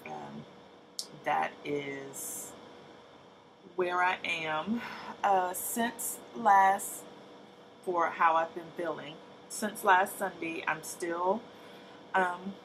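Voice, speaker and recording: A woman's voice: lowish voice, big pitch swings, extremely slow, pauses often, slight background noise, low-quality recording. A woman in her late thirties giving a casual, hesitant personal update to camera, full of ums and restarts, sounding tired. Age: 30s. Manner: soft-spoken, hesitant, many ums and restarts. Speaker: vlogger.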